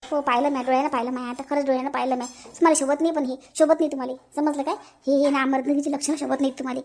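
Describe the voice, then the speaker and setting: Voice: sits high, flat delivery, rapid-fire, darkish tone. A performer voicing a bossy, outspoken middle-aged housewife in a comic family cartoon, speaking Varhadi Marathi and scolding with emphatic repetition. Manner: scolding, emphatic, repeats phrases.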